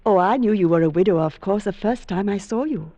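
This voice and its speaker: An actress playing a lonely, coy bookshop spinster in an old-time radio drama, gushing politely. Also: female voice, medium pitch, fast talker, mellow, dry recording, lightly acted. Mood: sympathetic, coaxing.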